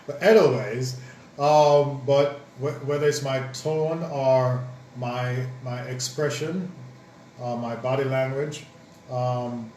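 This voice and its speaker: A man talks through his ideas slowly, pausing on ums and restarting sentences. His voice sits at a middle pitch and sounds set back from the mic. The room rings with echo, and a faint hiss sits under the speech. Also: darkish tone. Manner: thoughtful, halting with many ums and restarts. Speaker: co-host, married partner. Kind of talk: casual chat on marriage advice. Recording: roomy echo, distant mic, faint noise.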